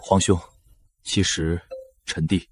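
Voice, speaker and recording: An actor playing a devoted, restrained young prince in a historical drama, earnest and courtly with a husky voice. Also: male voice, deep voice, flat delivery, takes it slowly, no room sound, studio quality, soft background music, exaggerated voice. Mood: earnest, tender. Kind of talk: historical short-drama acting.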